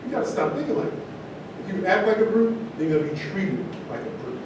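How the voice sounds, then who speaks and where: An actor playing a proud, principled former athlete, speaking earnestly and argumentatively in a staged reading. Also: male voice, sing-song, fairly quick. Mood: admonishing. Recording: echoey room, distant mic, rumbly background noise.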